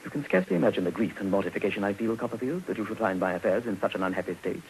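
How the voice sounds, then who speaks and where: Pompous middle-aged English gentleman, florid, optimistic, fairly low-pitched, rapid, vintage radio drama. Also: expressive, slight background noise, low-quality recording. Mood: mortified. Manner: orotund, grandiloquent, hesitating before plain restatements. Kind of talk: 1950s radio drama acting.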